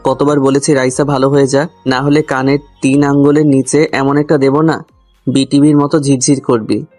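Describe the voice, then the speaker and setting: A man tells a comic first-person love story over soft background music. His delivery stays fairly contained in range, with a grumbling, confiding manner aimed straight at the listener. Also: mid-range voice, little pitch movement, moderate pace. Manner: grumbling, addresses the listener directly. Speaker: narrator and lead character of a love story. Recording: music under the voice.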